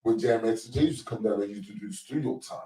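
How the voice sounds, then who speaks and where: Man in his forties, deep voice, laid-back storyteller, mic a bit far off in an echoey room, for a podcast host read. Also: fast. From Baltimore. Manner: laid-back, rambling storyteller with shout-outs. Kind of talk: casual storytelling chat. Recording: roomy echo, distant mic.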